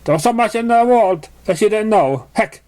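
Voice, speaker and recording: High-pitched man reading a story, stumbling. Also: expressive, moderate pace, darkish tone. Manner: stumbles over words while reading. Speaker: amateur reader of fan fiction. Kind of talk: scripted story narration.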